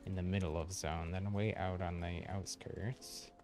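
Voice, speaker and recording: Low, slow-talking male game streamer. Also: flat delivery, over loud music. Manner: easygoing, chatty, thinking aloud. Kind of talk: casual live gaming commentary.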